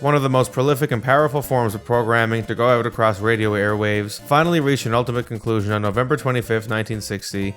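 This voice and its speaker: A man's voice: on the low side, fairly bright tone. A man narrating a scripted video essay.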